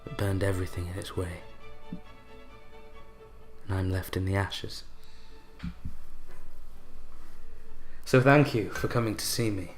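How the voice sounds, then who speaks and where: An actor playing a bitter, broken widower in a radio play monologue, brooding and theatrical, with deliberate pauses. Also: male voice, sits low, soft background music, close to an everyday voice. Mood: resigned, wounded. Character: bitter, broken widower recounting his unhappy marriage.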